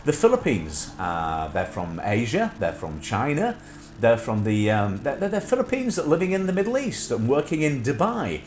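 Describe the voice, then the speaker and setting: A man's voice: medium pitch, huge pitch swings, moderate pace, roomy sound, slight background noise. A middle-aged man hosting a casual livestream chat, slightly nasal from sinusitis, rambling with many filler hesitations. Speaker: host.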